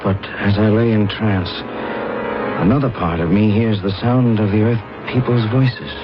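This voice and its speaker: A man playing an earnest, disoriented explorer from another planet in a radio drama, speaking in a halting, solemn, formal way. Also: lowish voice, steady tone, music in the background, lightly acted. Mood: solemn, trance-like. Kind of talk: radio drama acting with first-person narration. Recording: dull vintage radio broadcast recording.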